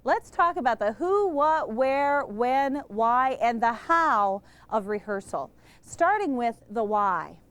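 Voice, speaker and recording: A woman giving an energetic lesson on public speaking to a live group, emphatic and quizzing the room. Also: fairly high pitch, slow pace, smooth. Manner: energetic, emphatic, asks questions of the audience. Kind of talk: instructional talk to a live group.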